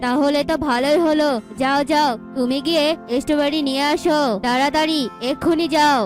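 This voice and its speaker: A woman's voice: sits high, steady tone, a little fast, faint music behind, lightly acted. A woman voicing a regal eagle king in a cartoon, commanding and devoted. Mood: urgent.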